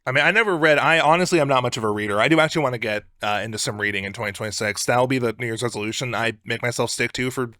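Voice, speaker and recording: A man's voice: mid-range voice, rapid-fire, few pauses, pushed and bright, no room sound, studio quality. A man co-hosting a casual podcast chat in an informal, joking way. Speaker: podcast co-host.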